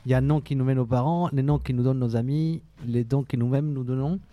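A man's voice: medium pitch, fairly even intonation, fast, highs cut off. A man hosting a radio show, counting down the minutes and organizing people in the studio before going live. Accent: some accent. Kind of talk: casual studio banter before a live broadcast.

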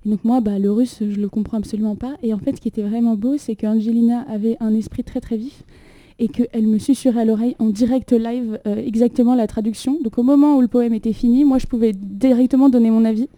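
A woman's voice: a little high, fairly even intonation, fast, dark tone, no echo. A young woman in casual chat, laughing often and full of fillers, recorded on a phone propped up in a small room. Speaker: poet, host of the reading. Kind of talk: casual chat and poetry reading. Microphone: phone propped on a water carafe.

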